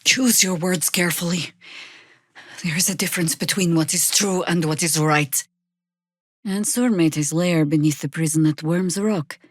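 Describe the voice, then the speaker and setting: An actress playing a seasoned, wry middle-aged female adventurer in a video game, speaking in a measured, dramatic way. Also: lowish voice, dry recording, clean studio sound, lightly acted. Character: seasoned, wry female adventurer, battle-worn mother and druid. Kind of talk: video game character dialogue and spell calls.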